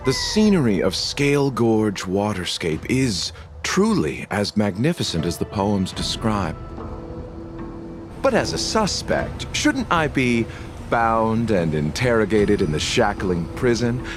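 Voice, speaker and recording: A sly, courteous traveling merchant from a game. His lowish voice swings expressively, polite and gently ironic, over prominent music. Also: big pitch swings, takes it slowly. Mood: poetic, wry. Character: suave, enigmatic traveling merchant, slyly courteous. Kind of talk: video game voice acting.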